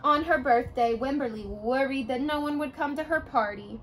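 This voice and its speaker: A young adult woman reading a children's picture book aloud, with expressive storytelling. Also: high voice, moderate pace, a little hiss or hum.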